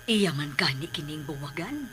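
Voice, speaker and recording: A woman playing an earnest middle-aged mother in a radio drama, speaking with breathy emotional emphasis. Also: sits low, animated, normal speed, sharp tone, a little hiss or hum. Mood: pleading. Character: earnest, pleading middle-aged mother, protective of her sons.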